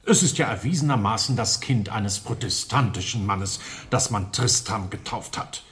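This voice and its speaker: A man's voice: fairly low pitch, moderate pace, fairly bright tone, natural voice. An actor playing a wry, witty clergyman with precise delivery in a comic radio play. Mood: objecting.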